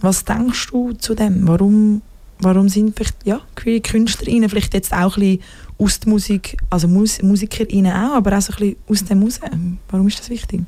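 A woman interviewer asks her questions in a hesitant, friendly manner. Her voice has a mellow, warm tone at a middle pitch. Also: fairly even intonation, fairly quick.

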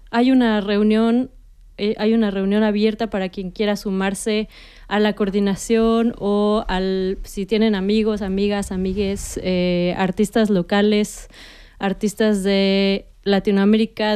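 A woman's voice: medium pitch, mellow, clear, resonant tone. A woman hosting a community radio show, opening with hesitant greetings and reading a prepared introduction. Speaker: radio host.

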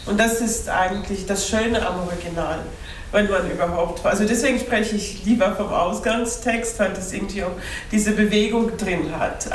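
A woman whose first language is Belarusian, reflecting aloud with frequent hesitations as she leads a panel talk. Her voice sits at a middle pitch. It comes from some way off in a roomy hall, with faint hiss behind it. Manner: thoughtful, hesitant, many fillers. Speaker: translator and moderator. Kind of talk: panel discussion. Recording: echoey room from far off.

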